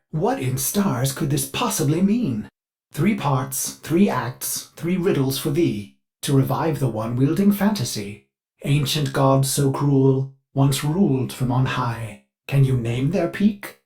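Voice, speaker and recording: A man's voice: mid-range voice, a little mellow. A man voicing an anxious, devoted ship AI servant for a video game, formal and theatrical, with a brooding streak beneath the politeness. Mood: eager, reciting. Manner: formal, theatrical, measured, fretful. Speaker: ship's AI assistant. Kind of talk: scripted video game dialogue.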